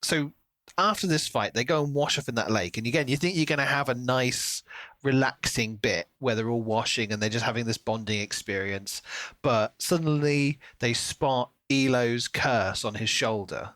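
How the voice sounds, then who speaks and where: A man co-hosting a podcast, talking through a book in a casual, animated way. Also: mid-range voice, normal speed, no echo. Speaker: podcast co-host.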